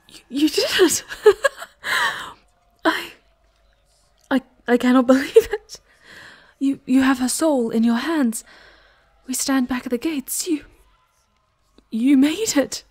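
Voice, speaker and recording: A woman playing a proud, ancient demoness in an ASMR audio roleplay, with a soft breathy voice and formal, theatrical phrasing. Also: high voice, expressive, slow pace, long pauses. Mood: overjoyed, amazed. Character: proud ancient demoness, long imprisoned, yearning for freedom.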